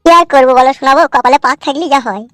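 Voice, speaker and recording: A man's voice: extremely high pitch, rapid-fire, a bit bright, a little hiss or hum. A man playing a put-upon, rueful village husband in a comic cartoon, plaintive and coaxing. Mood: placating, resigned.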